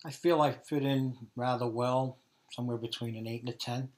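A man's voice: medium pitch, fairly even intonation, normal speed. A man in his fifties with a Brooklyn New York accent, giving answers in an interview. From Brooklyn, New York, USA. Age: 50s. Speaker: interviewee, dialect research subject.

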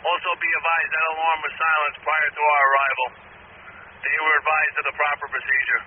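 A man's voice: fairly high pitch, level tone, a little fast. A man serving as a fire incident commander, speaking tersely over a two-way radio. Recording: noisy narrow-band fire radio transmission.

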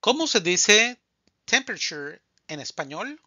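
A man teaching Spanish vocabulary in a scripted drill, speaking slowly and deliberately and saying each answer twice. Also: high-pitched, big pitch swings, sharp tone, no echo, muffled recording.

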